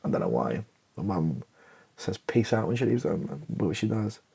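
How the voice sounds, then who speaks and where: A British man doing comedy ASMR, muttering haltingly aloud as he types out a script. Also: lowish voice, big pitch swings, creaky, dark tone, breathy edge. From Britain. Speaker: host of a comedy ASMR channel. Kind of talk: ASMR, improvised comedy while typing a script. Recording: faint noise with keyboard clicks and typing. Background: computer keyboard typing.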